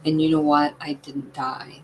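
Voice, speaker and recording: Brief: older woman, low weakened voice, chatty and emphatic, light background hiss. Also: little pitch movement, a little slow, rough recording. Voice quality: voice partly worn, weak. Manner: chatty, emphatic, asks "you know what?". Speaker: vlogger sharing health tips. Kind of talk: casual talk to viewers.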